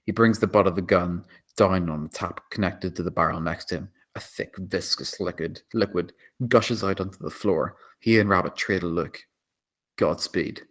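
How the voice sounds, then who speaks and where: A man's voice: sits low, moderate pace, fairly bright tone. A man reading out stage directions as scripted screenplay narration at a table read.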